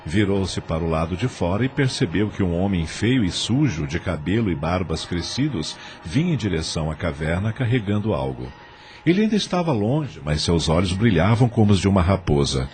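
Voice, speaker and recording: A deep-voiced man narrates an audio drama in a Brazilian accent. His delivery is scripted storytelling in the third person. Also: sing-song, a little fast, a little mellow, slight background noise.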